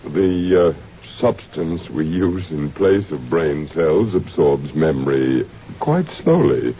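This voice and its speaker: An actor playing a suave, learned older scientist in a radio drama, formal, precise and smoothly condescending. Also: male voice, rumbling low, animated, slow, mellow, full character voice. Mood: smug, didactic. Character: suave, learned old male scientist running a grand project. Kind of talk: old-time radio drama acting. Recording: muffled, noisy vintage radio recording.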